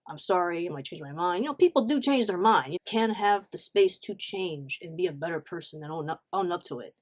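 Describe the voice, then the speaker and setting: Expressive woman telling a personal story. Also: lowish voice, fairly quick. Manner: animated, emphatic, acts out reported dialogue; informal slangy talk with swearing. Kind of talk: casual confessional storytime chat.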